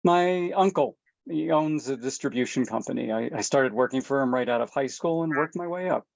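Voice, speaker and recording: Casting: a woman reading a joking middle-aged dad, very deep voice, dull dry recording. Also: brisk, creaky, darkish tone, dry recording. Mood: easygoing. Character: easygoing middle-aged father who jokes. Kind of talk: table read.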